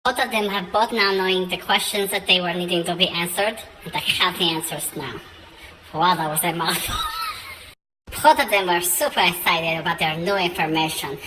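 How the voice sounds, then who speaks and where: A woman's voice: lowish voice, wildly swooping pitch, normal speed, noisy background. A woman narrating an improvised comic fairy tale in a chatty, playful way. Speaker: storyteller.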